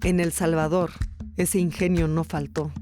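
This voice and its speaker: A woman's voice: sits low, little pitch movement, a little slow. A woman hosting and narrating a documentary podcast in a scripted storytelling style. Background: music under the voice.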